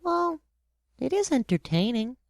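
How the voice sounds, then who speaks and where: Little prince kid, voiced by a woman, high and lilting, curious, earnest, storybook acting, dry sound. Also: sing-song, measured pace, gravelly, dry recording, heavily acted. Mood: thoughtful. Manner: innocent, questioning, earnest.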